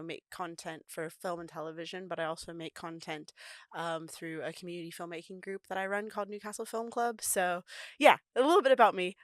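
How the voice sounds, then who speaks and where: A young woman from Texas speaks with a Texan accent, her voice sitting a little low and coming through smooth and clean. She talks in an eager, friendly podcast-chat manner and laughs easily. The recording is dry and close, with no room sound. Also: no echo. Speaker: podcast production manager, co-host guest.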